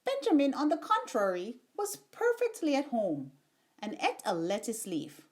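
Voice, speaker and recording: A woman reading a children's storybook aloud in an expressive, unhurried storytelling manner. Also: high-pitched. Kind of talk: read-aloud storybook narration.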